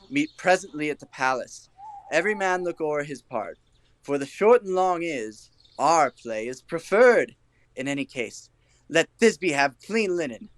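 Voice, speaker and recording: A man's voice: on the high side, dry recording. A man playing an exuberant, self-important amateur actor in a Shakespearean audio play, theatrical and bustling in delivery.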